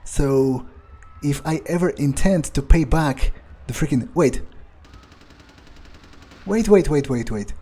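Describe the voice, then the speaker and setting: A man's voice: on the high side, slow pace, long pauses, warm tone, slightly rough. A man improvising in-character commentary over a game, thinking aloud hesitantly, repeating words and swearing casually. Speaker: gamer doing in-character playthrough.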